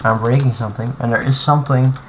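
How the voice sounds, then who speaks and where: A man at his computer, narrating a live stream in a loose, halting way, stopping and restarting as he puzzles over what he sees. His voice sits in the middle range, and clear background noise and keyboard typing run under it. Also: mid-range voice, fairly even intonation. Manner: thinking aloud, halting, many fillers and restarts. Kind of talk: casual live-stream commentary while modding.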